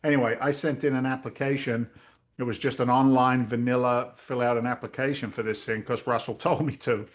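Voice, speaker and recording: A middle-aged man, a real estate investor telling stories in a casual interview over a video call, lively and blunt, acting out voices. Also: mid-range voice, a little fast. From Massachusetts. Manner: animated storyteller, acts out quoted voices, swears casually.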